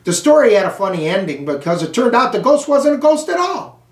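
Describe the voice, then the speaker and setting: A man's voice: extremely high pitch, sing-song. American man reading in an echoey room. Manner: slow, deliberate, phrase-by-phrase delivery. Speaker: school superintendent reading aloud. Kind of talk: children's storybook read-aloud. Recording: echoey room, distant microphone.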